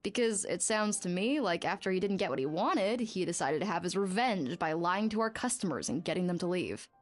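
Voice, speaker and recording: A woman's voice: fairly low pitch, animated, a little fast, soft background music, natural voice. A woman playing a blunt, feisty young bathhouse worker in a video game, brash and impatient. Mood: insistent.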